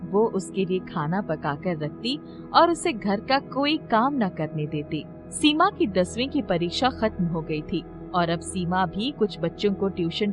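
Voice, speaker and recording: A woman storyteller reading a scripted children's story in narration style. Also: mid-range voice.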